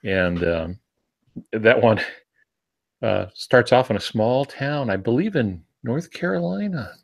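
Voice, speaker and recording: A man, an author on a podcast panel, chatting casually about books in a halting, rambling way with frequent pauses. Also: medium pitch, animated, vocal fry.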